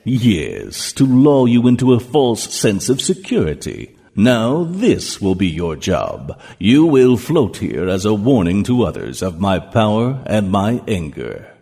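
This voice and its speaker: An actor playing a menacing, gloating ruler of Hell in an audio drama, with a pompous, commanding manner. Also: male voice, fairly low pitch, huge pitch swings, slow pace, gravelly, few pauses, dark tone, breathy edge, full character voice. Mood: cold menace. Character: menacing male ruler of Hell, cold, gloating, all-powerful. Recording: long cavernous reverb.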